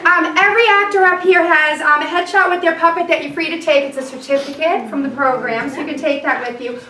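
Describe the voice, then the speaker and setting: A woman's voice: high voice, steady tone, fast talker. A woman speaking informally to an audience as a theatre program leader. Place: live hall after a show, far mic. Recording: distant, echoey, noisy hall with audience.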